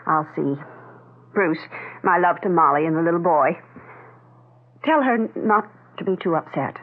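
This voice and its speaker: A woman's voice: lowish voice, expressive, unhurried. An actress playing a worried, knowing middle-aged mother with telepathic gifts in a 1970s radio drama. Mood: composed. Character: worried, telepathic mother aged forty-nine, recovering in hospital. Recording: tinny, phone-like vintage radio broadcast.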